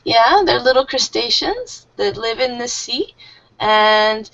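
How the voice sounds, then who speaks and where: Casual english-class teacher lady, higher voice, slow explaining, muffled call sound. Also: animated, gravelly, fairly bright tone. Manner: friendly, explains slowly with fillers.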